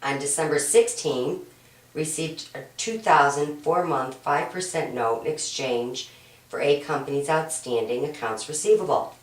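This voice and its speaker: A woman teaching an accounting homework walkthrough, hoarse and raspy from a cold, patient and step-by-step, often checking in with "right?". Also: deep voice, a little slow. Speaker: accounting instructor. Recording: roomy echo, mic at a distance.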